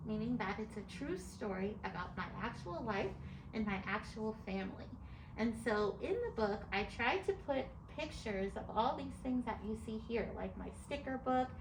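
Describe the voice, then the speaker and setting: A middle-aged American woman, an author explaining things step by step in an articulate way as she answers interview questions. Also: on the high side. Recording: echoey room with clear background noise.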